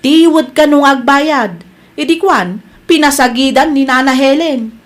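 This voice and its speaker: A woman's voice: high voice, a little slow. A woman playing a gossipy, complaining shopkeeper in an Ilocano family comedy drama. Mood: worried, complaining.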